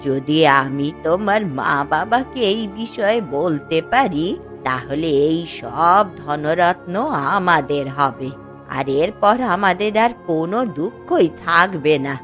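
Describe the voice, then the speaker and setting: A woman voicing a gentle elderly village grandmother in a fairy-tale cartoon, speaking in a drawn-out, reassuring way. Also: sits low, expressive, soft background music, exaggerated voice. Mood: awed, hopeful.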